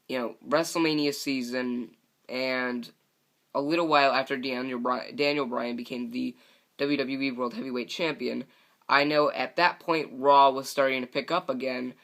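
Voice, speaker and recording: A man's voice: medium pitch, fairly bright tone. A young man hosting a solo wrestling podcast, rambling with many fillers and restarts, his voice a little scratchy from talking all day, on a broken microphone. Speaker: podcast host.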